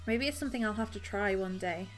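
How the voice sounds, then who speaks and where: A woman's voice: medium pitch, quick pace, soft background music. A woman streamer chatting casually with her viewers while she cross-stitches, rambling and laughing often.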